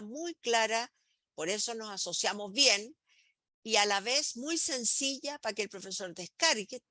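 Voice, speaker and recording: A middle-aged woman guides teachers through a slide presentation. Her voice is bright, and she talks with many "eh" pauses and small restarts. The recording is dry and close, with no room sound. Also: medium pitch, no echo. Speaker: host presenting materials to teachers.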